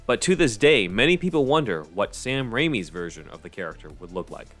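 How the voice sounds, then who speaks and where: A man delivers scripted narration as a podcast co-host. His voice sits low in pitch. Music plays faintly behind him. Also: big pitch swings, brisk, a bit bright.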